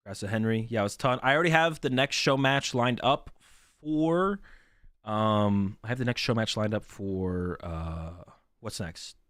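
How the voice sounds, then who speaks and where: A man's voice: on the low side, moderate pace, no room sound, studio quality. A young man hosting a card game stream, chatting casually to his viewers while sick, his voice worn. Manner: relaxed, rambling, repeats himself.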